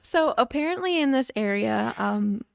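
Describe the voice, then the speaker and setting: Gravelly-voiced chatty woman from Indiana. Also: a little high, expressive, moderate pace, dry recording, clean studio sound. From Indiana, USA. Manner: chatty, laughs often. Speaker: podcast co-host. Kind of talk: casual chat.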